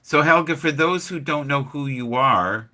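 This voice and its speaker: A man hosting a casual live chat show, speaking in halting starts with frequent restarts. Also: fairly high pitch, sharp tone. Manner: halting, restarts, many fillers. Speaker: host.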